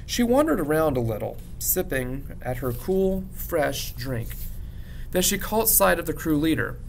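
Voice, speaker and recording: Man reading a story over rumbling noise. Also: very animated, measured pace, rough recording. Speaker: teacher reading a book aloud to students. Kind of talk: audiobook-style narration of a children's novel. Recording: clear background rumble noise.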